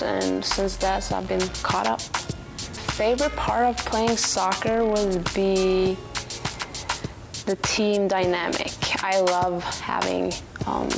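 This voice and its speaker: Clear music runs behind a young woman speaking casually for an athlete profile. She takes her time, and her mid-pitched voice has a noticeable vocal fry. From Sweden. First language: Swedish. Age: 20s. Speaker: college soccer goalkeeper. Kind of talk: casual on-camera profile interview. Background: background music.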